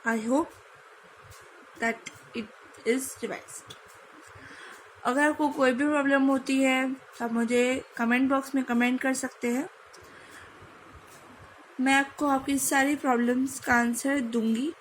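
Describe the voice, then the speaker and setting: Indian woman tutor, high voice, measured unhurried delivery, faint hiss behind. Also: steady tone, low-quality recording. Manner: spells letters slowly and drills pronunciation. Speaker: French language teacher. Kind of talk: online lesson mixing Hindi and English.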